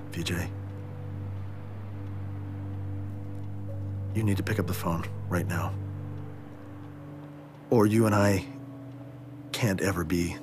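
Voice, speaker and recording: Frantic doctor begging his best friend, voice jumping all over, kinda high, music under. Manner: pleading, frantic then deflated. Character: anxious male doctor, devoted best friend. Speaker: hospital doctor. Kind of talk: TV drama acting.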